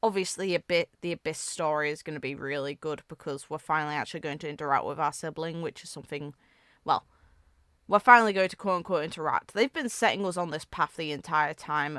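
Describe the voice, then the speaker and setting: A woman's voice: low-pitched, fairly bright tone, clean tone, no room sound, studio quality. A woman giving casual, conversational video game reaction commentary, musing aloud as she goes.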